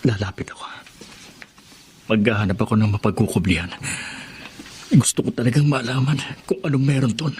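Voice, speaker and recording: A man's voice: mid-range voice, fairly even intonation, fairly quick, long pauses, darkish tone, natural voice. Actor as an adventurous young Filipino man, faint noise. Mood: hushed, curious. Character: adventurous, inquisitive young city man, loyal friend. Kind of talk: Filipino radio drama acting and monologue.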